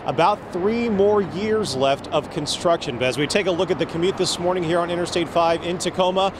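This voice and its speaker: A man's voice: high-pitched, a little fast. An American man giving a live TV news report as a field reporter outdoors. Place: outdoors near a freeway. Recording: clear outdoor traffic noise.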